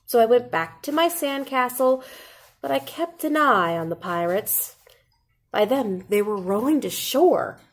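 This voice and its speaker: A woman in her thirties reading a children's picture book aloud in an expressive, deliberate way, like a teacher. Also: a little high. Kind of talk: animated children's storybook read-aloud. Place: indoor classroom.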